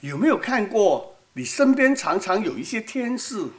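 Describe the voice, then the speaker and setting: Woman preacher with a Cantonese accent, lively and conversational, pauses for her interpreter. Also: mid-range voice. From Hong Kong. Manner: conversational, asks the audience questions. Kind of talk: sermon with live interpretation.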